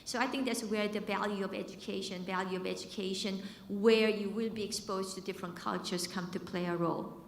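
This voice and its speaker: A woman in her fifties with a Korean accent mixed with American and British sounds gives a conference talk in an easy, chatty way. Her voice sits at a middle pitch, and she uses fillers and repeated words. The sound rings with the long reverb of a large hall. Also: fairly even intonation, a little fast, vocal fry, slight background noise. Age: 50s. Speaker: business school dean and professor.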